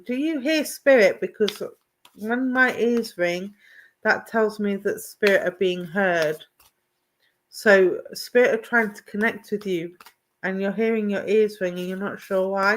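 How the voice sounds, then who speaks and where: Woman, psychic medium on a live stream, affectionate and chatty, addresses each viewer by name. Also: mid-range voice. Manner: affectionate, calls viewers "my darling"; greets and addresses viewers by name, asks if things make sense. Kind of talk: live online psychic reading.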